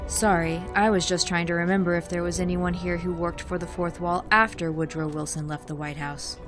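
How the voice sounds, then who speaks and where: A woman's voice: fairly low pitch, fairly even intonation, moderate pace, close to an everyday voice. A woman playing a sly, mocking schemer in an audio drama, speaking in a wry, formal and taunting way. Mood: defiant, cutting. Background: music.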